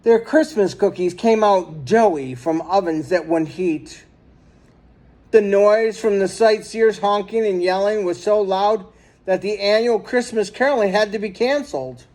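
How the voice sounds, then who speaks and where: A man reading a children's story aloud in an expressive, dramatic storytelling manner. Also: high-pitched, a little slow. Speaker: storybook reader.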